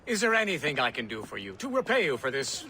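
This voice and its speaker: An actor playing a genial, slightly flustered colonial gentleman in a video game, hesitant, mannered and very polite. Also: a little high, huge pitch swings, quick pace, a little hiss or hum, exaggerated voice. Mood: effusive, courteous. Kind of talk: dramatic game cutscene acting.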